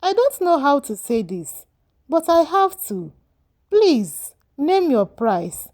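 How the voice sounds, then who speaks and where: A woman's voice: sits high, big pitch swings, slow pace, a little mellow, pitched above the performer's own voice, full character voice. A woman voicing a troubled, ambitious husband in a storybook tale, earnest and emphatic. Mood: apologetic, firm. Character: troubled, ambitious husband, hesitant but self-serving. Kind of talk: storybook dialogue.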